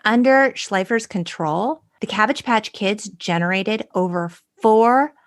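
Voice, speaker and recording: A woman's voice: mid-range voice, a little slow, dry recording, clean studio sound. A woman in her forties co-hosting a podcast, telling stories casually and emphatically. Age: 40s. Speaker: podcast co-host. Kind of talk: casual conversational storytelling.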